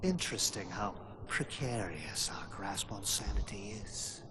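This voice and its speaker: A man's voice: lowish voice, big pitch swings, measured pace, noisy background. A man playing a gloating master of fear in a game cutscene, taunting and savouring each phrase. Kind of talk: dramatic villain acting in game cutscene. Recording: music behind the voice.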